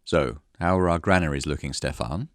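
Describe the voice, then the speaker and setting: Man playing a deep-voiced, composed commanding knight. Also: a little fast, dry recording, clean studio sound. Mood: polite, impatient. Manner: polite, controlled, authoritative. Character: composed, polite, commanding male knight with quiet impatience. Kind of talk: acted story dialogue.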